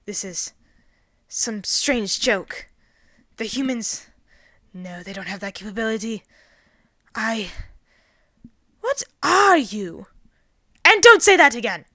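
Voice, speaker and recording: A woman voicing a loud, arrogant male alien invader in a story, indignant and excitable, given to bombastic shouting. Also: on the high side, slow, long pauses, no echo, studio quality, pitched above the performer's own voice, exaggerated voice. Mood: shaken, alarmed. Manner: shouting, bombastic, refers to himself in third person.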